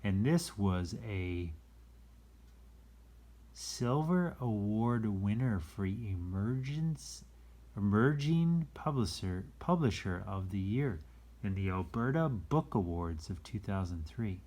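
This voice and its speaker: A man's voice: lowish voice, expressive, takes it slowly, darkish tone. A man hosting a read-aloud in a casual style, with easygoing, rambling explanatory asides. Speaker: storytime reader and host.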